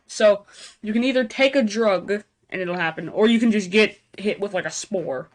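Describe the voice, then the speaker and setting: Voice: mid-range voice, fairly bright tone. A reaction video host reading on-screen text aloud and breaking into casual, speculative asides. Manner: casual asides between read-out passages.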